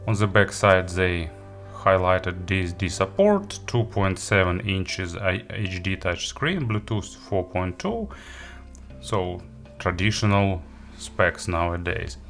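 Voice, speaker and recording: A man giving a casual gadget review and unboxing, halting and hesitant as he thinks aloud. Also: sits low, a bit bright, soft background music. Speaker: audio gear reviewer.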